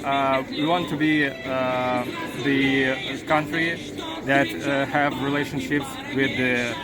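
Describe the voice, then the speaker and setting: Belarusian man, slow, halting English. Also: medium pitch, steady tone. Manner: hesitant, many fillers and restarts, searching for English words. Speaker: protester being interviewed. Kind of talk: street interview. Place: outdoor street protest. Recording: loud crowd and music outdoors.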